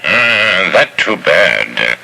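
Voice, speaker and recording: Deep, deadpan sidekick. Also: male voice, gravelly, heavily acted, poor audio. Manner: slow, deep, clipped pidgin phrases. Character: deadpan sidekick, clipped broken speech. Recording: hissy 1970s radio aircheck tape.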